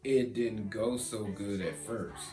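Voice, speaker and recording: A man's voice: fairly low pitch, slow pace, mellow, pitched below the performer's own voice. A man voicing a proud, boastful big sister who recounts field day in a storybook. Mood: proud, excited. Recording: roomy echo, guitar music behind.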